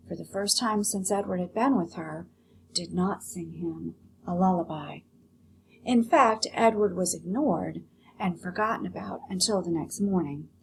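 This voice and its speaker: A woman teacher giving a read-aloud lesson, explaining and narrating a children's story in an expressive, instructive way. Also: lowish voice, gravelly, slight background noise, low-quality recording.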